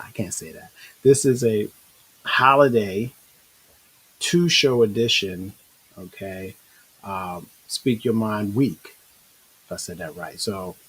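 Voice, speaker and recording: A middle-aged man hosting a casual online talk show, relaxed and rambling with many restarts. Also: on the low side, breathy edge, low-quality recording. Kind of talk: casual live-stream talk.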